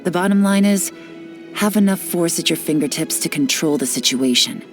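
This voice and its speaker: A woman plays a cool female spy who talks in a low, unhurried voice and teases with a sly, knowing edge. She sounds relaxed and in control. Faint music sits under the clean studio voice. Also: lightly acted. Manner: teasing, relaxed, lightly sly. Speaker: game character voice lines. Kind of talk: studio game voice-over.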